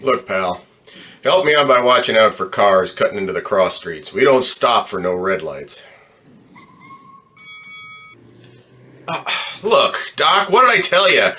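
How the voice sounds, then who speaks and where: A jaded, streetwise ambulance driver played by a man in an old-time radio drama. The voice is lowish and forceful, with a gruff, slangy, wisecracking delivery full of cynicism. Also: sing-song, normal speed. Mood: brash, urgent.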